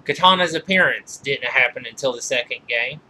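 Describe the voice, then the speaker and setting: A man giving informal film trivia commentary as a reviewer, halting and often correcting himself mid-sentence. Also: medium pitch, sharp tone, a little hiss or hum, poor audio. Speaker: movie reviewer.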